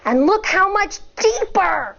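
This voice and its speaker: An American woman with a distinctly high voice reads a picture book to children. Her pace is very measured and her delivery is instructive, like a teacher explaining things step by step. The recording is slightly dull and lo-fi. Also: expressive, gravelly, fairly bright tone. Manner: deliberate, instructive, addressing young children. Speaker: elementary school teacher reading aloud.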